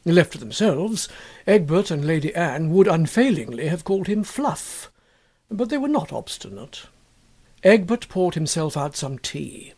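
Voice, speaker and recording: A man narrating a literary short story in a measured, expressive storytelling manner. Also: on the high side. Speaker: audiobook narrator.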